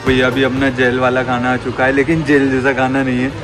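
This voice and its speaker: A man's voice: medium pitch, monotone, fairly quick, music in the background. A young man, chatting casually to viewers as he films a food vlog on a phone held at arm's length in a cafe. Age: 20s. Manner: chatty, keeps addressing viewers as bhaiya. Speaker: food vlogger. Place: cafe, indoors and out front on a city street. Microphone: phone at arm's length, selfie. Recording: noisy street and cafe sound.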